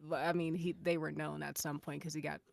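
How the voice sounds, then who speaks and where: A woman's voice: deep voice, level tone, a little fast, vocal fry. A woman co-hosting a casual fan discussion on a podcast after-show.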